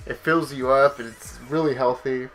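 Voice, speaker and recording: A man's voice: medium pitch, steady tone, normal speed, fairly bright tone, faint music behind, poor audio. A young man with a Southern California accent, nasal from a cold, doing a casual voice-over in a rambling way that corrects itself often. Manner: rambling, self-correcting, many fillers. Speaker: vlogger.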